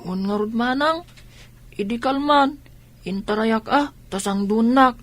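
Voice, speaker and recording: A woman's voice: a little high, a little slow, lightly acted. A woman playing a small, timid orphaned younger sibling in a radio drama.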